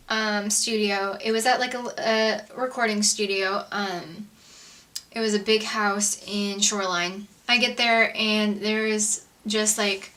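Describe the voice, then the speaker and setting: Bright, chatty young American woman, slightly distant mic. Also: medium pitch. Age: young adult. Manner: chatty, informal, peppered with "like". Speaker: vlogger and singer-songwriter. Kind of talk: casual personal storytelling to camera. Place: indoors, makeshift home filming setup. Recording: distant mic, roomy home video, background noise.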